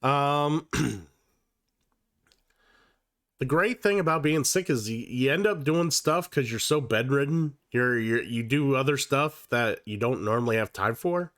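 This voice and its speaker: Male streamer, under the weather, slightly nasal, mid-pitched, loose profane chatter, dry close mic. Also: lively intonation, unhurried, fairly bright tone, dry recording. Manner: casual and profane, with many fillers and restarts. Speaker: livestream host. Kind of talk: casual livestream chat.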